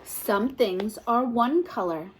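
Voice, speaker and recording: A woman's voice: on the high side, slow, a little hiss or hum, poor audio. A woman teacher reading a picture book aloud to toddlers, asking encouraging questions in a sing-song, exaggerated way.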